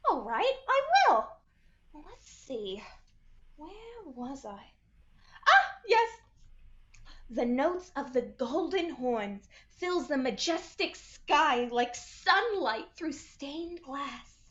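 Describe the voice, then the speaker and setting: High, gushing cartoon sweetheart. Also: female voice, big pitch swings, takes it slowly, fairly bright tone, heavily acted. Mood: theatrical, dreamy. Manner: dreamy, gushing. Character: Olive Oyl, excitable lovestruck lanky cartoon woman. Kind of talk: 1940s radio play acting. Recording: dull, roomy echoing recording.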